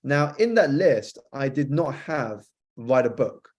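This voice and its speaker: A man with a British accent that has been softened by an American influence, a guest author on a podcast, telling a reflective story fluently with some repeated starts. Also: mid-range voice, expressive, slow pace. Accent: British, softened by years with an American spouse. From United Kingdom.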